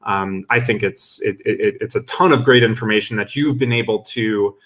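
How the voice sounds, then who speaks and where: A man's voice: on the low side, moderate pace, a bit bright. A young adult man hosting a live-streamed interview webinar over a video call, enthusiastic and full of fillers and restarts. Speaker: webinar host.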